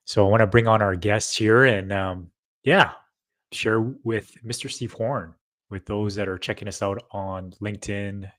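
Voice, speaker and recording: A man's voice: on the low side, fairly bright tone. A man co-hosting a casual live-streamed talk, loose and rambling, with many fillers as he introduces and checks in. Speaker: co-host.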